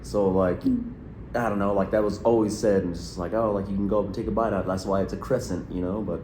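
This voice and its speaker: Low-voiced man in his thirties, rambling. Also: fairly even intonation, fast talker, darkish tone, reverberant, slight background noise, rough recording. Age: early 30s. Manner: rambling, many fillers and restarts. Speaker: podcast co-host. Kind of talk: casual chat.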